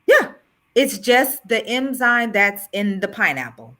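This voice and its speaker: A woman's voice: a little high, slow pace. A chatty woman from Florida giving sea moss advice on a group video call. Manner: chatty, lively, gives advice. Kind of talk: casual group chat on a call.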